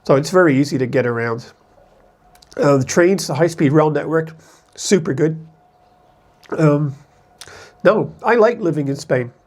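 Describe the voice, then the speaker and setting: A man's voice: on the high side, lively intonation, measured pace, pauses often, darkish tone. A man from the United States talking casually to camera as an expat vlogger, in a rambling, conversational way that often corrects itself.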